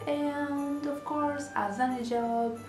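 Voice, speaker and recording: A woman's voice: high-pitched, steady tone, slow. A young woman with a Greek accent, chatting to camera in a casual vlog with many fillers and restarts. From Greece. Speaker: vlogger. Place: small bedroom indoors. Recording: roomy echo, music behind. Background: background music.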